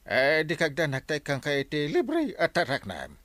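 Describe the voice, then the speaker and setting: An actor playing a jolly, scheming village captain in a radio comedy drama, full of exclamations and chuckles. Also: male voice, on the high side, normal speed, dry recording. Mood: jovial. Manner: chuckling, animated, lots of exclamations. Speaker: barangay captain.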